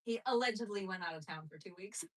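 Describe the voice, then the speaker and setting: A woman's voice: mid-range voice, fast talker, far from the mic, slight background noise, poor audio. A woman who grew up speaking Russian, chatting candidly on a podcast as a comedian host, swearing and laughing often. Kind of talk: casual podcast chat.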